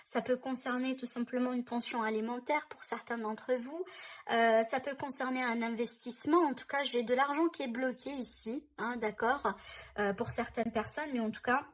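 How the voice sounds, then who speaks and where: A woman's voice: a little high, steady tone, moderate pace. A woman doing a tarot reading for her viewers, speaking in a reassuring way, often checking in with "hein" and "d'accord". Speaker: tarot reader.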